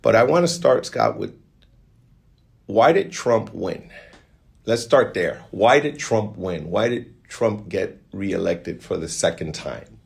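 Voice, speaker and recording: A man's voice: on the low side, animated, takes it slowly, creaky. A man hosting a podcast interview, asking conversational questions that he repeats and rephrases. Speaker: podcast host.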